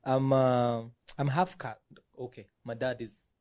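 A man with a Ugandan accent, a recording artist talking in a relaxed way in a TV studio interview, laughing easily. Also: medium pitch, a little slow, no room sound. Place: indoor TV studio set. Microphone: handheld mic.

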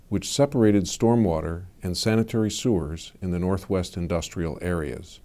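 Low, mellow male explainer narrator. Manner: measured, even informational delivery.

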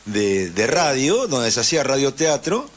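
An Argentine man hosts a casual radio interview in a Rioplatense accent. His voice sits at a middle pitch, and his delivery is halting as he searches for the next word. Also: big pitch swings. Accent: Argentine Rioplatense Spanish. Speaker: radio host.

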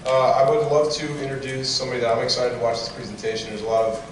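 A middle-aged man introducing the next speaker in a large auditorium, relaxed and rambling with lots of fillers. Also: medium pitch, fast talker, slight background noise. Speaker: event organizer introducing a speaker. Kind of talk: casual introduction. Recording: echoey hall from far off.

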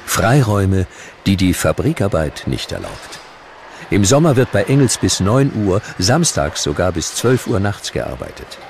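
A man narrating a scripted documentary with dramatic, deliberate storytelling. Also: deep voice, very animated, gravelly, over music.

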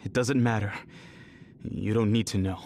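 An actor voicing a stern, fanatical elder brother in a video game, commanding and condescending in dramatic delivery. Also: male voice, lowish voice, steady tone, normal speed, dark tone, a little hiss or hum, lightly acted. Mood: cold, irritated. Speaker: villain. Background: dramatic background music.

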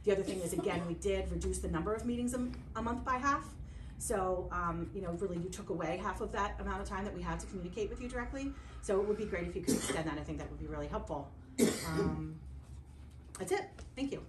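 A woman's voice: mid-range voice, quick pace, a little hiss or hum. A woman making a chatty, informal comment at a council meeting. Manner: chatty, with fillers. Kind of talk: casual public comment. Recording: echoey meeting room, far mic.

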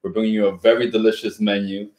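A man's voice: on the low side, little pitch movement, a little mellow. A middle-aged man from New York City, hosting a live home cooking stream with his wife, talking enthusiastically and chattily, picked up by a distant camera mic. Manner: enthusiastic, chatty, drawn-out asides. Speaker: cooking show host. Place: home dining room set up as a studio. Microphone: far camera mic. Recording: echoey room with kitchen clatter.

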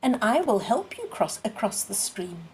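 Woman as a sly, unhurried storybook fox. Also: medium pitch, big pitch swings, a little mellow, slight background noise, poor audio. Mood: sly persuading. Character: sly, coaxing male fox.

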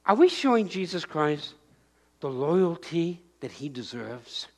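Animated older male pastor preaching. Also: a little high, a little slow. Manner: animated preaching, shouting acted-out crowd voices and pointing. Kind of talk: sermon. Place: church sanctuary.

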